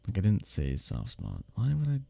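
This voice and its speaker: A man acts out a male office rival in an intimate ASMR audio drama, secretly smitten beneath the teasing. His low voice is gravelly and mellow, swinging expressively as he speaks earnestly and close to the listener. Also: dry recording, full character voice. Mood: defensive. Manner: expressive, halting confessions with soft chuckles and sighs.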